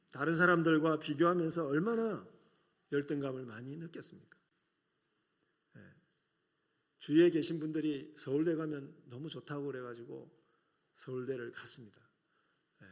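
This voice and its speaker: 높은 음조로 설교하는 사투리 억양의 중년 남성. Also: male voice, a little high. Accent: regional Korean. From South Korea, outside Seoul. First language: Korean. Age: middle-aged. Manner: earnest, rhetorical questions, frequent filler "yes". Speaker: preacher, seminary professor. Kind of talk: sermon to a congregation.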